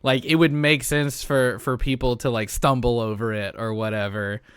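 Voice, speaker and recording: A man's voice: medium pitch, flat delivery, moderate pace, fairly bright tone. A man chatting casually as a podcast host, swearing freely and joking as he talks. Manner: profane, joking. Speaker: podcast host and tabletop player. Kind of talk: casual chat.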